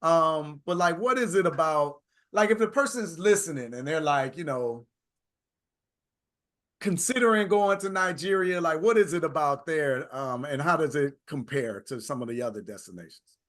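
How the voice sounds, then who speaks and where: A man's voice: fairly high pitch, lively intonation, normal speed, fairly bright tone. A male interview host, upbeat, asking long rambling questions with many fillers. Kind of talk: conversational interview.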